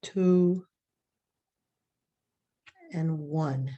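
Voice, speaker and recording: A woman leading a guided relaxation meditation, giving soft, calm, drawn-out breathing instructions. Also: sits low, lo-fi sound, heavy background noise.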